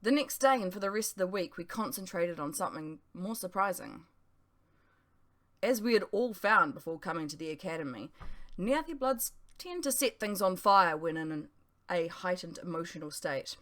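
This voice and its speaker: A woman with a slightly low voice reads an audiobook. She narrates her own story in the first person, with expression. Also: lively intonation, normal speed, fairly bright tone. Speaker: author reading own audiobook.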